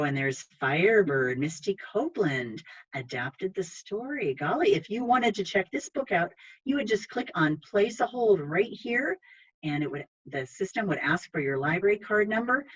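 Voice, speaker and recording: Female children's librarian host, husky voice, polite and explanatory, dull video-call sound. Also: on the low side, lively intonation, normal speed. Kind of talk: reading aloud and guiding viewers through an online library program.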